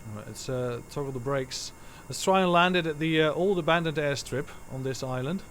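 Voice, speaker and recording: A man's voice: fairly high pitch, fairly quick, a little hiss or hum. A man whose first language is Dutch, giving rambling, self-correcting live commentary while streaming a game from home.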